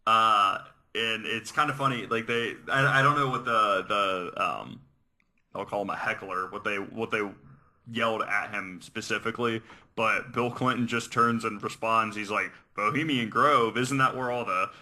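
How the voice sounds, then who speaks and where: Man, podcast host, lowish pitch, very bright forceful tone, hesitant conversational storytelling. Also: normal speed. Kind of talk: casual conspiracy-theory podcast chat.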